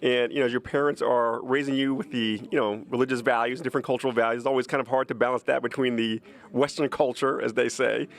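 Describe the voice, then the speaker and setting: A man's voice: medium pitch, level tone, fast talker, gravelly. An American-accented man whose first language is Arabic, giving casual answers as an interview guest. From United States.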